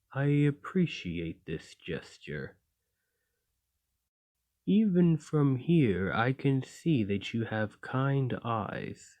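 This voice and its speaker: A man voicing a gentle, lonely golem in an ASMR roleplay, speaking in a formal, measured and courteous way. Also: mid-range voice. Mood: grateful, curious.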